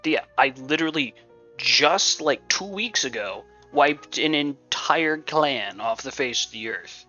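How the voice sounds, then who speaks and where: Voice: mid-range voice, lively intonation, a little slow, bright, forceful tone, muffled recording. A streamer giving casual, joking, flippant commentary over a video game. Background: game music.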